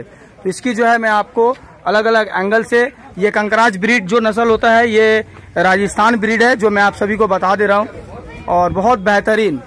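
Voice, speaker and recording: A man vlogging a walkthrough of a crowded indoor cattle shed, talking into a handheld phone camera with excited, repetitive sales patter. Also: high-pitched. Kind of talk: live walkthrough vlog.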